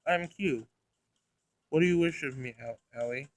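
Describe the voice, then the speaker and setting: A man's voice: on the high side, normal speed, dry recording, close to an everyday voice. Visual novel android, read by a man: female machine, literal-minded, formal and emotionless in delivery. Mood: matter-of-fact. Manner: pedantic, clinical, formal wording.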